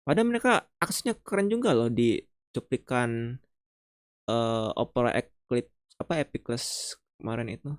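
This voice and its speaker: Slangy Indonesian man streaming, fairly low voice. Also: animated, fairly quick, dry recording, clean studio sound. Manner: slangy, informal. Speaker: game streamer. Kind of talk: casual gameplay commentary.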